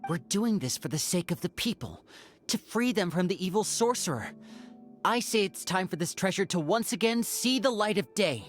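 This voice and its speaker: Woman playing an animated, theatrical young adventurer. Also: fairly low pitch, normal speed, faint music behind, natural voice. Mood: resolute. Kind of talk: video game voice acting.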